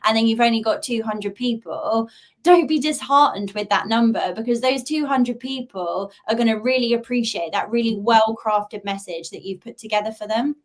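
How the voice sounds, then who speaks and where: A woman's voice: fairly high pitch, normal speed. A woman speaking as a guest expert in a webinar, explaining her advice in an encouraging, conversational way.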